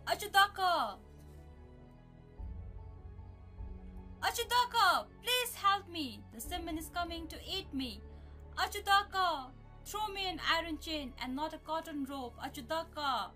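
Woman playing a bright, high-voiced village girl. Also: animated, normal speed, soft background music, exaggerated voice. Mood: desperate. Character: wary, clever young village girl hiding from demons. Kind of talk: animated folktale character acting.

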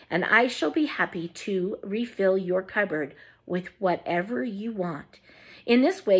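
A woman reading out a showy candy maker's letter in a theatrical, drawn-out way. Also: mid-range voice, slightly rough.

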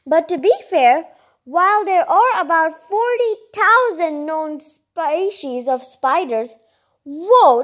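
A woman's voice: extremely high pitch, fairly bright tone. A woman reading a children's picture book aloud, expressively and informally, with chatty asides and exclamations. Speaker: read-aloud narrator.

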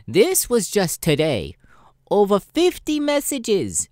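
A man's voice: high-pitched, wildly swooping pitch, slow pace, darkish tone, no echo, studio quality, exaggerated voice. A man voicing a jaded, sassy, foul-mouthed male spider demon with a sardonic, weary drawl in an ASMR audio roleplay. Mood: venting, sardonic. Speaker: voice actor, ASMR roleplay artist.

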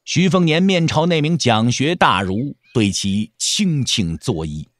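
A man's voice: medium pitch, animated, a little slow, dry recording, studio quality. A man narrating an audio drama as a dramatic storyteller, with emphatic, theatrical phrasing.

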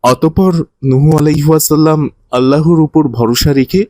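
A man's voice: fairly high pitch, moderate pace, warm tone, no room sound, close to an everyday voice. A man playing a kindly, didactic teacher who tells moral religious stories to children in a cartoon. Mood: earnest, instructive. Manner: didactic, earnest.

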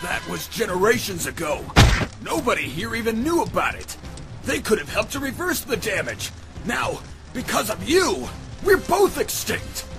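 Man as a very high, strained, furious echidna hero. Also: wildly swooping pitch, normal speed, creaky, full character voice. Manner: forceful, shouting, strained. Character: furious, grief-stricken male echidna hero. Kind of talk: amateur comic dub voice acting. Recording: music loud behind the voice.

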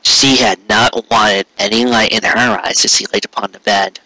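A man's voice: fairly low pitch, fairly even intonation, normal speed, pushed and bright, dry recording, low-quality recording. A man reading a story aloud as scripted narration, now and then stumbling and correcting himself. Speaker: amateur fan fiction reader.

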